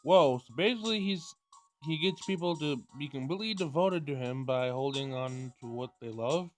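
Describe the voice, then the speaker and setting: A man's voice: fairly high pitch, normal speed. A man doing a let's play of a visual novel, reading dialogue aloud with casual commentary and stumbling over words as he goes. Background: game music.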